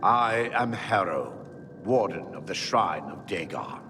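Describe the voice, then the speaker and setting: Fantasy game cult warden: lowish voice, ceremonious orders, cavernous reverb with soft music. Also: male voice, lively intonation, takes it slowly, slight background noise, exaggerated voice. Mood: stern, ceremonious. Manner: formal, commanding, ceremonious. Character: stern male warden of a demonic cult's shrine. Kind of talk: video game character acting. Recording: long hall reverb, faint music behind.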